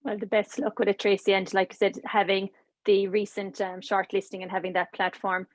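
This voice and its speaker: A woman's voice: medium pitch, level tone, fairly quick, no echo. A woman hosting an online panel interview, encouraging and full of fillers and repeated words. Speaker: webinar host.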